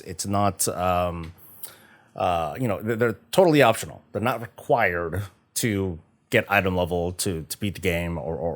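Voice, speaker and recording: A man chatting casually on a gaming livestream, halting and often stumbling over repeated words. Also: fairly low pitch. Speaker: streamer, host of a morning show. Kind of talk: casual livestream chat about games.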